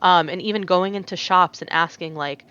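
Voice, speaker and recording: A woman's voice: on the low side, normal speed, sharp tone, clean tone, highs cut off. A young adult woman, a tatreez artist, chatting as a podcast guest, telling stories with lots of fillers and restarts and laughing often. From United States. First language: Arabic. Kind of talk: casual podcast interview.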